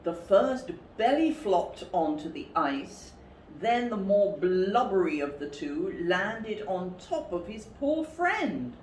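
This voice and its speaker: A woman reading a children's story expressively, with a dramatic, deliberate delivery. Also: medium pitch, sing-song. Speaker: storybook reader for a school story time. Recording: roomy, distant mic, faint background noise.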